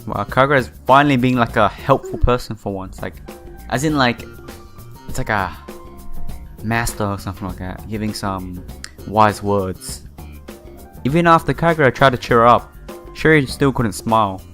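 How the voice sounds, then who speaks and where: A man reading a visual novel aloud and commenting casually as a let's player, halting and informal. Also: mid-range voice, a bit bright. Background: game music.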